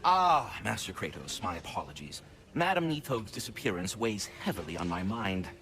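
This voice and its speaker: An actor voicing a fretful, courteous old talking squirrel in a game, fussy and formal. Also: male voice, on the low side, big pitch swings, moderate pace, pushed and bright, heavily acted. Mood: fretful, mournful. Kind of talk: animated video game acting.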